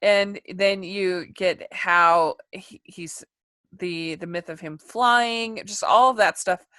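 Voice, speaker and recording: A woman co-hosting a casual film discussion podcast, talking in a chatty, rambling way with halting delivery. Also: lowish voice, fairly even intonation, bright, forceful tone, no echo. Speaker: podcast co-host reviewing animated films.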